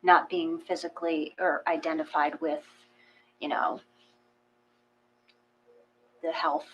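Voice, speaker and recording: Lowish woman's voice explaining stuff gently, bit tentative, faint hum behind. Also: level tone, slow pace, dull audio. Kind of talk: casual reflective discussion.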